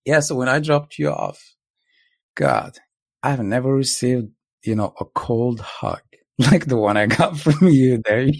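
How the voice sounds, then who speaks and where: A man's voice: medium pitch, animated, measured pace, no echo. A man from Morocco casually telling a couple's story, rambling and laid-back with lots of filler words.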